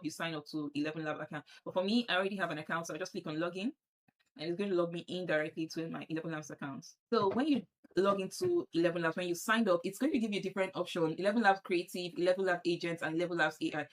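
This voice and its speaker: A Nigerian woman with a Nigerian accent walking viewers through a software setup on screen, often repeating herself as she clicks. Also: on the low side. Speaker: tutorial presenter. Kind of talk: screen-share software tutorial.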